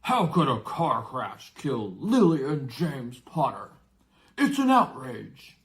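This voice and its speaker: A man voicing a big, gruff, excitable but kindly giant with a rustic, blustering way of talking, in a storybook reading. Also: mid-range voice, slow, exaggerated voice. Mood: outraged. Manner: rustic, blustering exclamations and hesitant asides.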